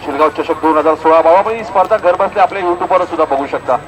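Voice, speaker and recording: A man's voice: on the high side, fast. A man with a Maharashtrian accent giving live ball-by-ball cricket commentary from an outdoor ground. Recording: noisy outdoor sports ground recording.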